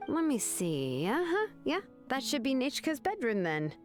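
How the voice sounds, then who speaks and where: A woman's voice: sits high, huge pitch swings, normal speed, faint music behind. A woman playing a confident, considerate young craftswoman hero in a video game. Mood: reminiscing.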